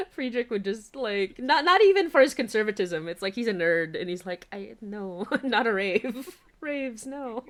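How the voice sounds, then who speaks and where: A woman's voice: fairly high pitch, a little slow. Giggly woman hosting a casual chat. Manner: giggly, animated, chatty. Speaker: podcast host and writer.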